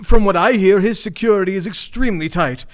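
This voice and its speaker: A well-to-do, guarded father acted by a man in a noir radio drama. He speaks in a formal, measured way, in a somewhat high male voice. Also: close to an everyday voice. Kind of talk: noir radio play acting.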